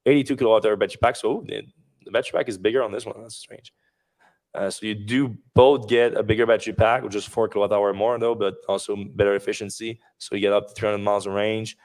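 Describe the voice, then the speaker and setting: A man with a low, fairly monotone voice talks through car news on a podcast, rambling with fillers and false starts. His voice is wearing thin and hoarse. He speaks into a desk mic from a home office. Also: fast. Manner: rambling, many fillers and restarts. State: losing his voice. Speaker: podcast host. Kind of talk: casual news discussion podcast.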